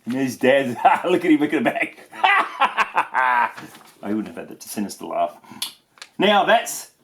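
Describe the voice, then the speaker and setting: A man reads a children's story aloud at a slow pace. His pitch moves around a lot, and his manner is playful and chatty. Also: very animated, creaky, pushed and bright, a little hiss or hum. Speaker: storybook reader. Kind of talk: animated children's read-aloud.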